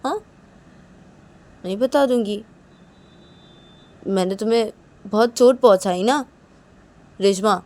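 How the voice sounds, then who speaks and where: A woman's voice: fairly high pitch, warm tone, dry recording, pitched above the performer's own voice, natural voice. A woman voicing a stern, guilt-ridden young woman with a short temper, repeating terse warnings in an improvised dramatic story. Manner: terse, insistent, repetitive warnings.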